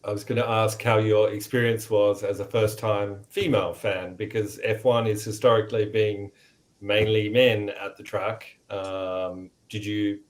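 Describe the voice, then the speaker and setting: A man co-hosting a relaxed conversation, asking questions in a conversational interviewing style. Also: on the low side, measured pace. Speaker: podcast co-host.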